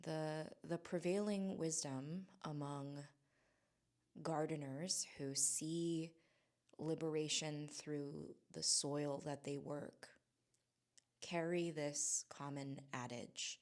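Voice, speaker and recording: Low-voiced, musing woman vlogger from Hawaii. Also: fairly even intonation, a little mellow. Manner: musing, halting, with many restarts and fillers. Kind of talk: casual reflective monologue. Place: home in Southern California.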